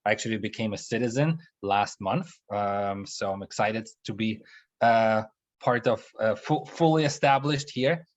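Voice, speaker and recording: A young man, co-founder of an investment firm, presenting in a business webinar and speaking haltingly with frequent ums and restarts. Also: lowish voice. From Russia. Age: young adult. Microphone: video call.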